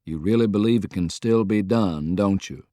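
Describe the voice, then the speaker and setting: Man playing a hushed, weary, resolute general. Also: sits low, no echo, studio quality, lightly acted. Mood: searching. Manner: hushed, slow, measured. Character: grave, weary male Confederate general, quietly resolute.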